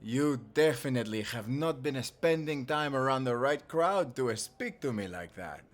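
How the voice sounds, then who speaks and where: A smug Spanish-accented charmer sliding onto the next bar stool in an audio drama, acted by a man, formal, flirtatious and condescending, his pitch gliding up and down. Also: lively intonation, moderate pace, close to an everyday voice. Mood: smooth, flirtatious. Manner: formal, smooth-talking, condescending. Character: suave, smug Spanish gentleman, flirtatious wannabe warlock. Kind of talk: audio roleplay acting.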